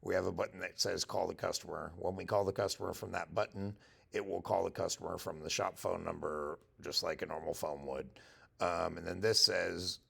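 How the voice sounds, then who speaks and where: A man with a gravelly, husky voice, casually walking through a software demo and thinking aloud as he goes. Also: deep voice, steady tone, moderate pace. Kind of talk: casual screen-share product walkthrough.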